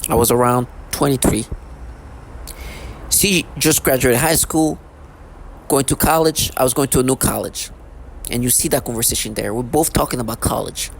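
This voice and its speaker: A man's voice: medium pitch, moderate pace, gravelly, pauses often, a little hiss or hum. Agitated rambling man in his thirties. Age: 30s. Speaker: vlogger. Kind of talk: unscripted monologue to camera.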